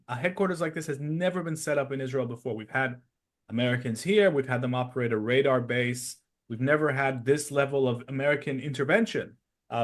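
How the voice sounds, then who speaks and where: A man with a mid-range voice hosts a current affairs podcast. He speaks in a measured, explanatory way, pausing now and then as he lays out his commentary. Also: mid-range voice. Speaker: podcast host. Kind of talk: interview and news commentary.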